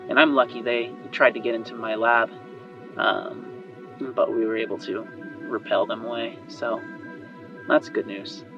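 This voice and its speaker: A man's voice: mid-range voice, little pitch movement, measured pace, close to an everyday voice. A man voicing an eager, chatty female inventor who is loyal and worried, speaking in a hesitant, rambling way. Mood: subdued, worried. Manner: hesitant, rambling, trailing off and sighing. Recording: muffled band-limited audio under music.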